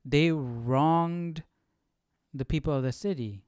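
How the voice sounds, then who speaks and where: A man's voice: on the high side, slow pace, a little mellow, clean tone, dry recording, studio quality. A man hosting a Bible study with casual, reflective commentary, questioning and thinking aloud.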